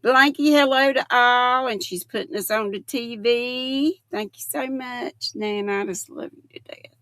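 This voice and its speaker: A woman's voice: a little high, unhurried, fairly bright tone, no room sound. An older woman, hosting a casual livestream and chatting with viewers. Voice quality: gravelly. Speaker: livestream host. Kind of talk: casual chat with live viewers.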